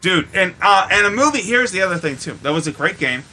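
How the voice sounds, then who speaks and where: Male podcast co-host, sharp forceful voice on the high side, emphatic and sweary in casual movie talk. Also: expressive, fast talker, rough recording. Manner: emphatic, profane. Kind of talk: casual chat about films.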